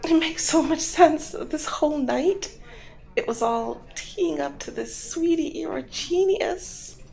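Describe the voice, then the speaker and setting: Woman acting high, creaky-voiced flirty mob boss. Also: slow pace, darkish tone, heavily acted, poor audio. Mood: gushing, excited. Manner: teasing, coy, smoothly in control. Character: flirty, teasing, commanding female mob boss. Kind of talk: ASMR roleplay, scripted one-sided dialogue.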